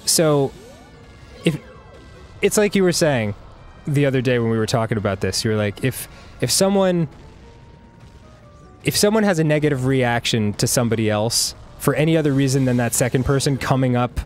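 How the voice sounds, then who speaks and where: A man in his thirties chats loosely over gameplay, rambling with plenty of fillers and small laughs. His first language was Hebrew, and he speaks at an ordinary pitch. Faint music plays underneath. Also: animated, moderate pace, a little mellow. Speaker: co-host. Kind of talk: casual gameplay chat.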